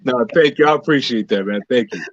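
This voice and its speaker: A man's voice: medium pitch, fast talker, dry recording. Man chatting casually, dry muffled audio. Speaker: interview guest, performer and writer. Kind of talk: casual chat, answering interview questions.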